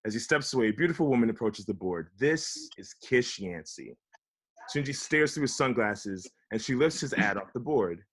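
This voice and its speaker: A man's voice: medium pitch, lively intonation, normal speed, a bit bright, husky. A man reading stage directions aloud as the narrator of a scripted table read.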